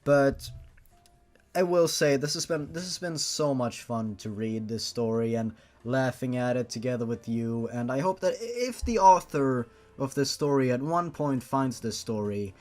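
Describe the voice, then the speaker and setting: Mid-pitched, melodramatic sweary host over faint music. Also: sing-song, unhurried, darkish tone. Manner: exasperated, melodramatic, swears freely. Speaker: host of a fan fiction reading series. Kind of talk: casual comedic reading and reaction commentary.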